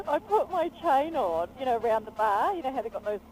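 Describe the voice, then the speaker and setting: A woman chats animatedly, laughing through her stories. Her voice sits high and swoops up and down a lot. Faint vehicle noise hums in the background. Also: moderate pace, low-quality recording. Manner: giggly, animated storytelling. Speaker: vlogger. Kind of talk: casual storytelling chat. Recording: road noise behind.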